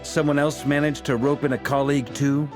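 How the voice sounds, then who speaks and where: A genial knight commander, voiced by a man, relaxed and joking as he welcomes people. His voice sits at an ordinary middle pitch. Faint game music plays underneath. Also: brisk, lightly acted. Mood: easygoing. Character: genial male knight commander, easygoing leader. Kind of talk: video game dialogue. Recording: game audio with soft music behind.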